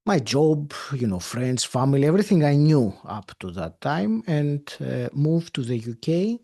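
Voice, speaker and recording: A man in his fifties from Greece with a Greek accent, speaking English as a second language, answering a podcast interviewer in a thoughtful way with many pauses and fillers. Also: on the high side, sing-song, mellow. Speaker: podcast guest. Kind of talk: interview conversation.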